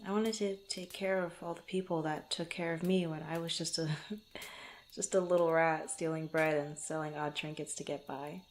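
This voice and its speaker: A woman playing a guarded, bantering young swordswoman in an intimate fantasy ASMR roleplay, speaking haltingly to the listener. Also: sits low, steady tone, slight background noise, natural voice. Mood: fond, reminiscing. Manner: flustered, bantering, halting, often sighing or chuckling. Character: guarded, teasing young adventurer swordswoman, former street thief.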